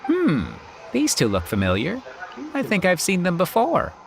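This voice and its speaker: Bubbly, swooping teasing performer. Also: female voice, fairly low pitch, huge pitch swings, normal speed. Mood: playful, teasing. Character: playful teasing theater performer, bubbly young woman. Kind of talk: video game dialogue.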